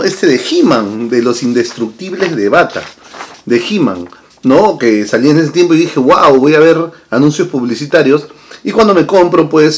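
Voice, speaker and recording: A man, chatting casually to camera as a vlog host in a room full of toy shelves, lively and full of tag questions. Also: fairly high pitch. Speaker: channel host. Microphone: fixed camera at desk distance.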